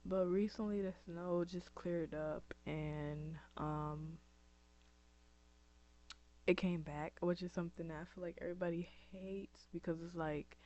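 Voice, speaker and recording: A young woman with a dry, scratchy throat chats to her camera in an unhurried, meandering way, filling pauses with ums and likes. The sound is dull and muffled. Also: on the low side, fairly even intonation, darkish tone. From upstate New York. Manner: rambling, lots of ums and likes. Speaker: vlogger. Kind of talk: casual chatty vlog. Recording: dull lo-fi recording.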